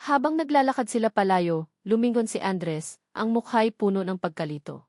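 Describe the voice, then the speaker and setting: A woman's voice: mid-range voice, animated, moderate pace, darkish tone, no echo, studio quality. A woman narrating a scripted dramatic love story.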